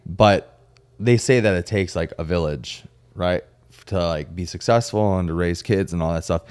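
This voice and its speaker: A man with a low voice hosts a casual podcast chat. He talks informally and with plenty of slang, putting his opinions out plainly. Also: normal speed, darkish tone, smooth. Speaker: podcast host.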